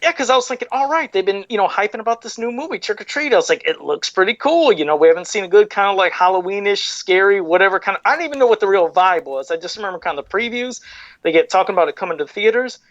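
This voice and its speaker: A man's voice: high voice, expressive, fast talker, fairly bright tone, dry recording, muffled recording. A man hosting a podcast in easygoing, chatty casual talk. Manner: easygoing, chatty, laughs along. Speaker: podcast host. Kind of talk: casual chat.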